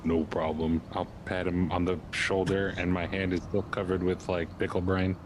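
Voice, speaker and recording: Low monotone guy chatting in an rpg session, noisy ambience behind. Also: moderate pace. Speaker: player in a tabletop game. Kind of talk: casual roleplay and table chat.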